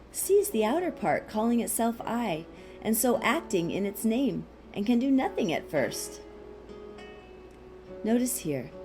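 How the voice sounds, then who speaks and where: A woman reading a philosophical text aloud as scripted narration, in a measured, deliberate way with pauses. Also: fairly high pitch, dark tone. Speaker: audiobook reader.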